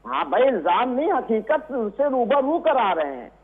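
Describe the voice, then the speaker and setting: A man's voice: very high voice, big pitch swings, measured pace, husky, phone-quality sound. A man arguing indignantly and emphatically as a debate guest over a remote call. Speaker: religious scholar, debate guest. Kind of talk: argumentative TV debate. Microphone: remote call link. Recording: thin, band-limited remote call audio.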